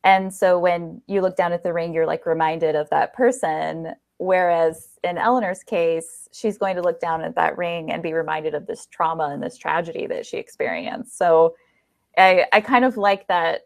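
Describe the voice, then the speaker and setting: A woman's voice: fairly low pitch, steady tone, moderate pace, creaky, dry recording. A woman hosting a podcast, chatting casually in an enthusiastic, affirming way as she interviews a guest. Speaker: podcast host.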